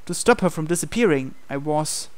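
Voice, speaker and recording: A man narrates a visual novel playthrough from the script. His voice is fairly high for a man, smooth and clear, with a dramatic storytelling delivery. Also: lively intonation, a little fast.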